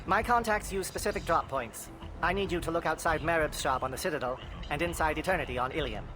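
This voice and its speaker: A man playing a sly, smooth-talking information dealer in a video game, coy and insinuating in his salesmanship. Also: on the high side, fast, over music, close to an everyday voice. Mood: sly, persuasive. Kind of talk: video game dialogue acting.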